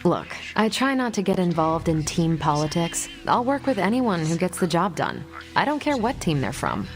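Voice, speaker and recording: An actress playing a confident, easygoing American superhero in a video game, with a wry, casual manner. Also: female voice, lowish voice, sing-song, moderate pace, soft background music. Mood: candid, casual. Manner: wry, casual, self-assured. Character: confident, easygoing superhero woman, ex-Air Force pilot. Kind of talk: video game dialogue acting.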